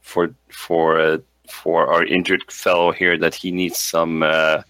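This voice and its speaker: A man's voice: low-pitched, completely flat delivery, a little slow, bright, forceful tone, clear, resonant tone. A man playing a tabletop game, chatting casually and repeating words hesitantly as he thinks aloud. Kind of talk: casual tabletop roleplay chat.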